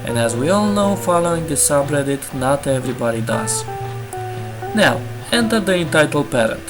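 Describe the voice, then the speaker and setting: A man's voice: on the high side, sing-song, moderate pace, poor audio. A man hosting a channel and reading entitled-parent stories aloud in a casual, jokey way. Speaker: host. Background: guitar music.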